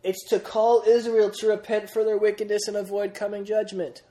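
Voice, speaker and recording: Lively young man teaching a Bible class. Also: sits high, moderate pace. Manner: energetic, many restarts, quizzes the group. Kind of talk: classroom Bible lesson with questions.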